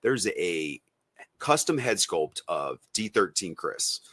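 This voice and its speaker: Lowish-voiced man on a casual podcast. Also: sing-song, slow pace, a bit bright, slightly rough, no room sound. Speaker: podcast co-host. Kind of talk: casual podcast talk with announcements.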